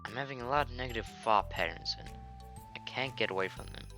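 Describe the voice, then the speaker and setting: A young man doing a quiet, gloomy shy girl. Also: mid-range voice, a little fast, music in the background, natural voice. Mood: timid. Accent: British. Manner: quiet, mumbled, hesitant.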